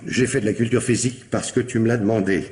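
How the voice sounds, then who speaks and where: An actor playing a patient, wounded middle-aged husband in a French radio mystery, earnest, questioning and pleading. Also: male voice, on the low side, fast talker, darkish tone, slight background noise, close to an everyday voice. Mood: hurt, pleading.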